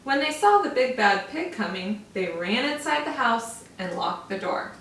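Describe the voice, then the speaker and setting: A woman's voice: fairly high pitch, lively intonation, normal speed, fairly bright tone, a little hiss or hum. A woman teacher reading a picture book aloud to young children, stopping to explain words. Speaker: first grade teacher. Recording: echoey room, mic far off.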